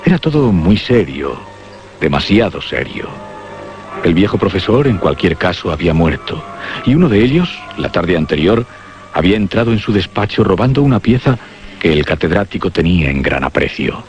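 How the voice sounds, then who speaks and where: A man playing the grave narrator of a suspense radio play, speaking in a solemn, deliberate, ominous way over music. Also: low voice, very animated. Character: grave male narrator of a horror tale. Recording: dull lo-fi radio drama with music behind. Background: clear background music.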